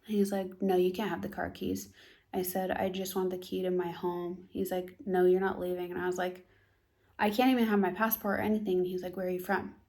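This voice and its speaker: A young American woman telling a tense personal story in a casual vlog, animated and often dropping to a hushed near-whisper. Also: lowish voice, fairly quick. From United States. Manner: animated storytelling, acts out both sides of a dialogue.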